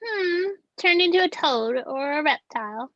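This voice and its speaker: Witchy old grandma, very high animated voice, theatrical and macabre, on muffled audio. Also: unhurried. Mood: searching, musing. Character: eccentric old witchy grandmother reading grisly folk remedies. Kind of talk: script table read, comedic character acting.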